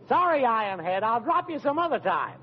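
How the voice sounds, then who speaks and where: Cocky young joker on old radio comedy, really high swoopy voice. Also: male voice, very animated, a little fast. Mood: jaunty, mocking. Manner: glib, jaunty, teasing. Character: breezy, smug young wisecracking rival suitor. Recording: muffled old radio recording, faint noise.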